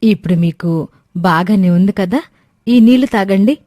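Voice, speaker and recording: A woman voicing a kind, caring wife of a wealthy landlord in a storybook tale, warm and sincere. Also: medium pitch, moderate pace, no echo, clean studio sound, natural voice. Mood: concerned.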